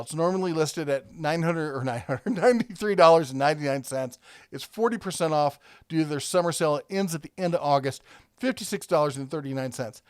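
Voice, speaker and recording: A man's voice: fairly high pitch, no room sound, studio quality. A man hosting a casual product review, talking conversationally and thinking aloud as he rambles along.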